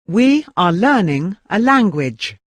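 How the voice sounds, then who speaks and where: Lively pitch, slow lesson repeats, dry close sound. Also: no echo. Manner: measured, deliberate repetition.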